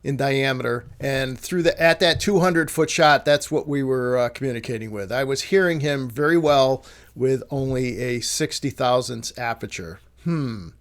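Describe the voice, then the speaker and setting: A man giving a casual, explanatory talk as an amateur radio hobbyist, halting and correcting himself as he works through technical details. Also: medium pitch. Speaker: amateur radio hobbyist presenting his project.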